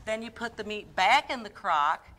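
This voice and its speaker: Woman playing a bright, folksy lady cook. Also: medium pitch, lively intonation, normal speed, slight background noise. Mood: folksy, instructive. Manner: folksy, chatty, rambling asides. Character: chatty, folksy woman cook hosting cooking demonstration. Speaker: guest cook on a show. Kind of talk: comic cooking demonstration.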